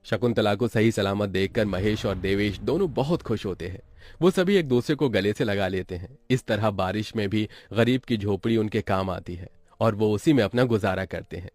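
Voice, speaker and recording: A man's voice: on the low side, moderate pace, a little mellow. A man reading scripted narration as the storyteller of a moral tale.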